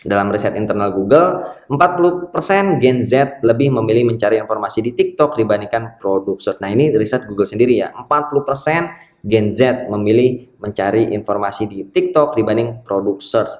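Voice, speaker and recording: A man's voice: fairly low pitch, a little fast, darkish tone. A man who works as an SEO marketer, reading a news article aloud and adding casual comments with frequent tag words. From Indonesia. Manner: reads passages, then comments with frequent "ya" and "nih" tags. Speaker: SEO marketer and channel host. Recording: echoey room.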